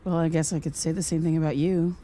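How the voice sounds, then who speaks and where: A woman's voice: low voice, little pitch movement, warm tone, a little hiss or hum, natural voice. A woman acting as an earnest, hesitant podcaster seeking answers in a naturalistic audio drama. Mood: defensive, insistent. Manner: halting, apologetic, polite. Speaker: lead actor playing a podcaster.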